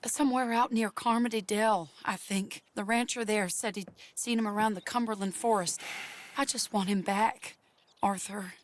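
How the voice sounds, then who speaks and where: A woman's voice: medium pitch, steady tone, normal speed, natural voice. An actress playing a genteel, tender-hearted frontier lady with an American accent, refined and pleading in a video game drama. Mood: worried, pleading.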